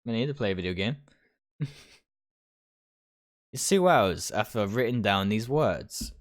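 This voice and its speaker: A man's voice: lowish voice, lively intonation, a little slow. A man livestreaming a visual novel, reading its text aloud and stumbling over phrases now and then. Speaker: video game streamer.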